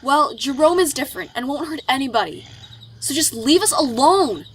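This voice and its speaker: Woman playing young audiobook heroine, high bright voice, pleading, devoted, emotional, noisy ambient background. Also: expressive, moderate pace. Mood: defiant, frightened. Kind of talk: dramatized audiobook acting.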